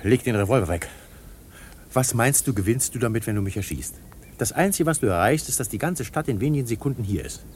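An actor playing a lazy, evasive, self-satisfied uncle in a children's radio play. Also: male voice, mid-range voice, expressive, a little fast, darkish tone, a little hiss or hum, lightly acted. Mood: urgent bargaining. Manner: theatrical, self-satisfied, hesitant when evasive. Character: lazy, jovial, shady uncle with evasive charm.

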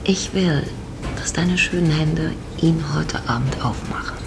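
Radio drama lead: mature female, low murmuring voice, flirtatious yet wistful, noisy ambience. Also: a little slow, gravelly. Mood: teasing. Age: middle-aged. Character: teasing, wistful mature woman, flirting with younger man. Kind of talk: radio play acting.

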